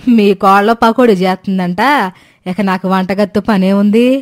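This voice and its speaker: A woman voicing an exasperated, sarcastic older mother-in-law in an animated story, scolding with dry mockery. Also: medium pitch, normal speed, close to an everyday voice. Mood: dryly mocking. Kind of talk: Telugu animated moral story dialogue.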